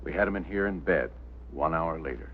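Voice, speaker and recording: A man's voice: rumbling low, fairly quick. An actor playing a grave, matter-of-fact male doctor in a vintage American film drama. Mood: grave, firm. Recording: tinny old film soundtrack, faint noise.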